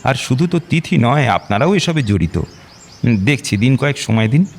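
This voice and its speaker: Animated middle-aged father, reverberant. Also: medium pitch, normal speed, slight background noise. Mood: sober, considerate. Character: genial middle-aged father, chatty, courteous, burdened. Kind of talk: audio drama acting. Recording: reverberant hall, light music bed.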